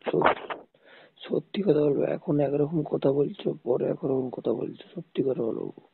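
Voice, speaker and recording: A man with a rough, husky voice arguing insistently and repetitively in an intimate phone call. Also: fairly high pitch, normal speed, heavy background noise. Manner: insistent, repeats demands. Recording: muffled tinny phone call recording.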